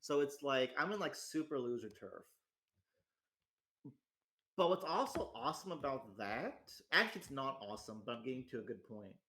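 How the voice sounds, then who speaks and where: A man's voice: mid-range voice, expressive, moderate pace, bright, forceful tone. A man in his twenties talking in a rambling, self-deprecating casual chat at home, with lots of restarts and fillers. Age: 20s. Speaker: host. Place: home in a rural area.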